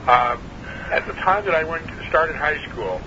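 Sixties man, interviewee, halting and throaty; muffled, hissy phone recording. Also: on the high side, very animated. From New Hampshire. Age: 60s. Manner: hesitant, many uh fillers, measured. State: clearing his throat, frog in throat. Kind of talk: oral history interview.

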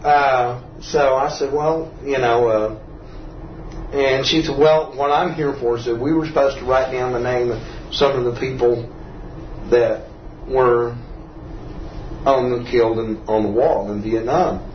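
An older man from Texas, telling a personal story as a veteran in an interview, in a rambling, halting, self-correcting way. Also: medium pitch, steady tone. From Texas, United States. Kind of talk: personal oral-history storytelling. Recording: roomy, dull, faintly noisy, distant mic.

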